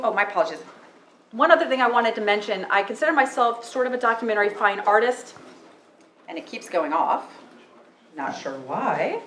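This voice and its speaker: A loud, chatty woman in her forties talks through a handheld mic in a library meeting room. Her voice rings around the room over the speakers, with a faint hum behind her. She leans on fillers as she goes. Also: mid-range voice, echoey room, poor audio. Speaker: photographer giving a talk. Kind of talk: casual public talk. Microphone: handheld microphone through PA.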